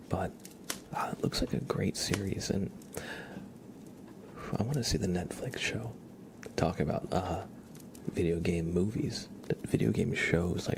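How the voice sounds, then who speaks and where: A man hosting an ASMR livestream, chatting casually and unhurriedly in a soft, gentle murmur that drifts into whispers. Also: deep voice, background noise. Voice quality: soft, breathy half-whisper. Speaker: livestream host reading chat.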